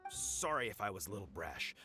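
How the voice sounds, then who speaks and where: A man's voice: on the low side, sing-song, brisk, sharp tone. A man playing an earnest, brash village meat merchant in a video game, speaking with emphatic salesmanship. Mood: eager, persuasive. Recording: music playing behind the voice.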